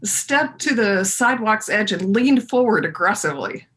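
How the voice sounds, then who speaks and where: A woman playing a folksy storyteller in her fifties in a readers theater monologue, conversational and confiding, with dramatic pauses. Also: medium pitch, lively intonation, a bit bright, lightly acted. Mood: storytelling.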